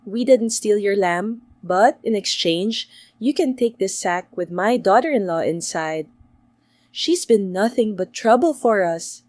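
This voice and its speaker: A woman's voice: mid-range voice, lively intonation, unhurried, a little mellow, no echo, natural voice. A woman voicing reluctant, apologetic householders in a children's story, hesitant and conciliatory. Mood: weary. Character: reluctant, apologetic householders giving lodging and gifts.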